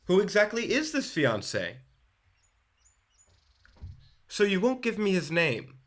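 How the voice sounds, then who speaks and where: Smug, bright-voiced police inspector played by a man. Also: high voice, wildly swooping pitch, exaggerated voice. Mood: mocking. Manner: sardonic, patronizing, drawn-out emphasis. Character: smug, condescending male police inspector, prying and insinuating. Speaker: actor in a radio play. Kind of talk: radio drama acting.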